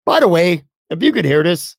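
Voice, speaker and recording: A man hosting a solo sports podcast, hoarse as he loses his voice, blunt and casual with some swearing. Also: a little high, sing-song, brisk, dry recording, studio quality. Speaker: podcast host.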